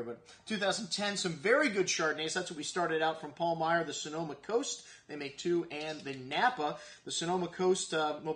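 A man's voice: a little high, pushed and bright. A man in his forties reviewing wines on camera in a wine shop, chatting casually with many fillers and running through tasting notes. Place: wine shop set with shelves, indoors.

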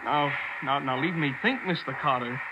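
An actor playing a frightened, pleading small-time garage owner in a 1940s radio drama, stammering and whiny with slangy grammar. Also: male voice, a little high, normal speed, lo-fi sound, natural voice. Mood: nervous. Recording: hissy 1940s radio broadcast.

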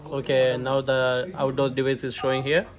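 A man who is not a native English speaker gives a hands-on setup walkthrough in short, halting phrases with frequent okays. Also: medium pitch, monotone, crowd noise. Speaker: tutorial presenter, technician. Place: indoor shop or office. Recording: noisy room, clear background noise.

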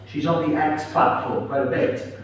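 A man's voice: fairly high pitch, fairly even intonation, moderate pace. A Welsh man being interviewed on stage at a live event, chatty and telling stories with asides. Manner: chatty, warm, storytelling with asides. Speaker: interviewed author and radio presenter. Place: town hall at a live event. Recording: echoey hall from far off, faint noise.

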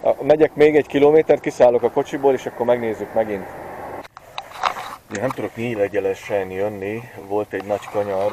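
Man, 40s, deep voice, relaxed chatty review, hesitation fillers, camera mic, faint hiss. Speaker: gadget reviewer. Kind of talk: casual product review vlog.

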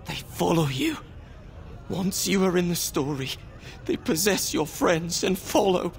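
A man's voice: high-pitched, animated, a little slow, vocal fry, slight background noise, heavily acted. An actor voicing a terrified, paranoid male dwarf adventurer in a video game, talking in a frantic, raving way. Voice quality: frantic, panicked.

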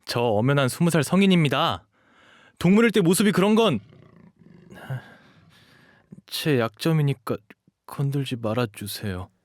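A man's voice: medium pitch, lively intonation, normal speed, no echo, studio quality, close to an everyday voice. A man acting as an eager, cutesy, pleading twenty-year-old dog shapeshifter in an audio drama, with a boyish manner. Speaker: voice actor.

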